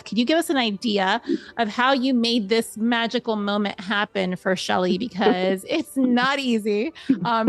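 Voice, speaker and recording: A woman's voice: mid-range voice, no echo. A woman hosting a conversational podcast interview, chatty and encouraging, with long, wordy questions. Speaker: podcast host.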